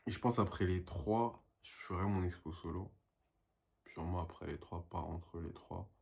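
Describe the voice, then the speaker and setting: Husky low-voiced young man. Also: normal speed, a little mellow. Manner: self-motivating, rambling, drops English phrases. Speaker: painter. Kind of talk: casual vlog monologue.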